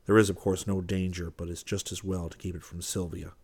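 A man narrator voices an earnest, short-tempered artist in a wartime storybook. The voice is low, with a brisk, urgent and commanding manner. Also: little pitch movement, a little mellow, breathy edge. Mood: low, serious.

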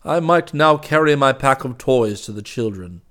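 A man's voice: medium pitch, expressive, normal speed, fairly bright tone, pitched above the performer's own voice, close to an everyday voice. A man voicing a merry, eager old toy maker in a hearty, cheerful way for a storybook reading.

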